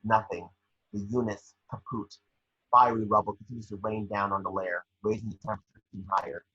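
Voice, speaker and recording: A man's voice: fairly low pitch, little pitch movement, a little fast, bright, forceful tone, husky, muffled recording. A man narrating screenplay action in an amateur table read, occasionally stumbling over the script. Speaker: scene-description reader.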